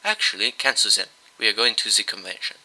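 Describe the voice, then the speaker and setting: A man voicing an excitable, fussy Belgian detective. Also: on the low side, fast, poor audio. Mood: insistent. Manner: fussy, insistent.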